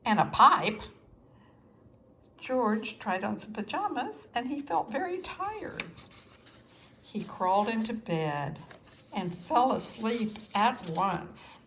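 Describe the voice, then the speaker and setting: A woman reading a children's story aloud as scripted narration, expressive and with deliberate pauses for young listeners. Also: mid-range voice, a little hiss or hum. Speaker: storybook reader.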